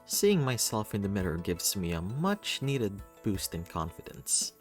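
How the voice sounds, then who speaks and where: Male narrator, low register, expressive first-person story reading with theatrical flair, faint music underneath. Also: a little slow. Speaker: reader of a visual novel.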